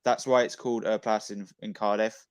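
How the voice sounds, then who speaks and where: A young man in his twenties from Wales, chatting casually while watching a football match and blurting out loud reactions to goals. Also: fairly low pitch, flat delivery, brisk, clear, resonant tone.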